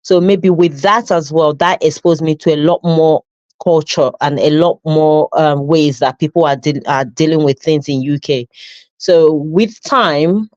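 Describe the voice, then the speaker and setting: A woman's voice: deep voice, a little slow, darkish tone, no echo. A woman from Nigeria with a Nigerian accent, a custody nurse in her thirties telling her life story in an interview, reflective and full of fillers and restarts. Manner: reflective, with frequent fillers and self-corrections. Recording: dull lo-fi sound.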